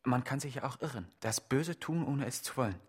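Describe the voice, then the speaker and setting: A man's voice: medium pitch, animated, brisk, husky. An actor playing an accused man in a radio play, insistently and rhetorically pleading his total innocence. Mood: reflective, defensive. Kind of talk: theatrical radio play monologue, litany-like.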